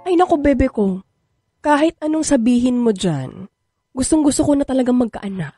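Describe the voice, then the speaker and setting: High, animated, flirty Filipina. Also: female voice, moderate pace, breathy edge, dry recording, clean studio sound, soft background music. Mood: giddy, coaxing. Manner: coquettish, teasing, wheedling. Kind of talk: Filipino radio drama acting.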